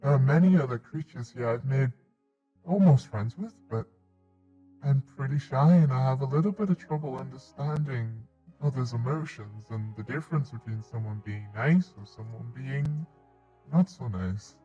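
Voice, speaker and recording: Man playing a muffled, shy, gentle alien creature. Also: mid-range voice, close to an everyday voice. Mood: shy, hopeful. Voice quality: odd put-on voice. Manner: hesitant, halting, mumbling, timid. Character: shy, gentle alien creature exiled to Earth, socially awkward. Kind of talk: first-person story narration in Minecraft roleplay. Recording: dull lo-fi mic, faint music behind.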